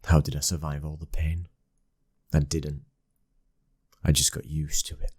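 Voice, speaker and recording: A man plays a British mafia boss's son in an intimate audio roleplay. His voice sits extremely low, with a husky grain and little melodic movement. He is a commanding, stern figure and a loving husband. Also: little pitch movement, unhurried, a little mellow, exaggerated voice. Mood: weary. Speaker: ASMR roleplay voice actor. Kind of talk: intimate ASMR boyfriend roleplay acting.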